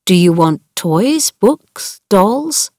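A woman voicing a sad, tired older man who is ill and worried, speaking quietly and kindly. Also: medium pitch, big pitch swings, extremely slow, no room sound, clean studio sound, exaggerated voice. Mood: weary. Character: sad, weary, ill older male guardian.

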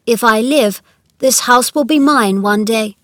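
A woman's voice: a little high, measured pace, a bit bright, no room sound, clean studio sound, pitched above the performer's own voice. A woman voicing a sickly young boy in a children's storybook, demanding, fretful and self-pitying. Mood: imperious, bitter.

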